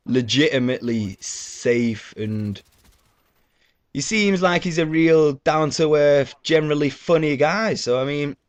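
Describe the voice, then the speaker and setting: Stuffy-nosed gamer rambling. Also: male voice, mid-range voice. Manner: rambling, loose and sweary, with many fillers. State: stuffy, blocked nose. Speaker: gaming YouTuber. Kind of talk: casual gameplay commentary.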